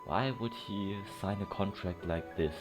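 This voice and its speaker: Male gaming streamer: very low voice, informal and hesitant, commentating over clear game music. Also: measured pace. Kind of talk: casual game playthrough commentary.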